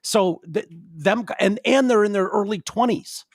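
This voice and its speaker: Blunt, profane man with a raised pitch. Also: moderate pace, no echo, clean studio sound. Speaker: podcast host. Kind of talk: casual podcast chat.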